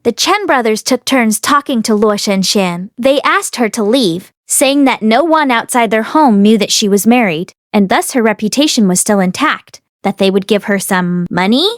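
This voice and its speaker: A woman narrator reading a story aloud in an expressive storytelling style. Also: fairly high pitch, normal speed, few pauses, no room sound, studio quality. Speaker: audiobook narrator.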